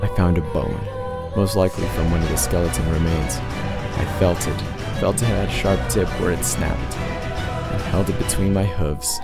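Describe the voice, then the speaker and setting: A man's voice: deep voice, steady tone, moderate pace. A man voicing a tormented, blinded unicorn pony who recounts his past in an intense, dramatic first-person story reading. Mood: somber, haunted. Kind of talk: dramatic reading of fan fiction. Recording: loud music under the voice. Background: prominent background music.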